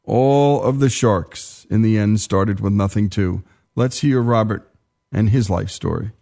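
A man's voice: fairly low pitch, a little slow, gravelly, dark tone. A man hosting a radio talk show, narrating in an emphatic, conversational way with frequent asides.

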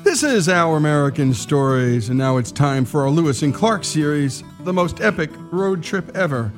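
A man's voice: a little high, animated, moderate pace. A man hosting a story radio show, reading scripted introductions. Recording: music under the voice. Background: guitar music.

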